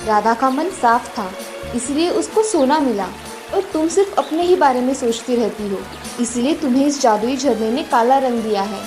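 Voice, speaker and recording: Woman playing a high-voiced, measured old grandmother. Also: over music, lightly acted. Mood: stern, knowing. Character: old grandmother of a magic world, calm, stern. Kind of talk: Hindi moral-story cartoon voice acting.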